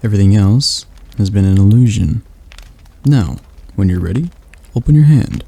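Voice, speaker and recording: A man voicing a patient demon magic tutor in an ASMR roleplay, talking slowly and softly as he coaxes his student through a spell. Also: lowish voice, expressive, creaky, no echo. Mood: patient, coaxing. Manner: slow, soft, coaxing instruction.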